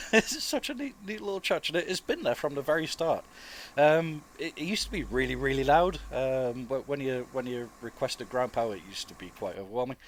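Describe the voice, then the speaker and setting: A man, chesty with a cold, giving relaxed, informal and rambling flight simulator commentary as a video host. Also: medium pitch, a little fast, a little hiss or hum. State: getting over flu, chesty cough. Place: dry close-miked voice-over indoors.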